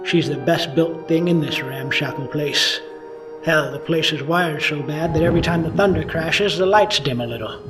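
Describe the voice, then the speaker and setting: A man's voice: a little high, normal speed, distant mic, lightly acted, poor audio. Man playing a brooding noir detective over music. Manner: brooding, wry. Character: gloomy hard-drinking 1950s noir police detective, self-mocking, world-weary. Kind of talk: scripted radio drama narration in noir style.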